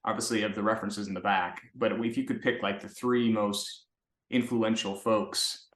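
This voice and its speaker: A man's voice: lowish voice, level tone, normal speed, fairly bright tone. A man co-hosting a podcast as a consultant, asking questions in a rambling, hesitant way. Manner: rambling, hesitant, searching for words. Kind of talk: casual interview chat. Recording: roomy echo.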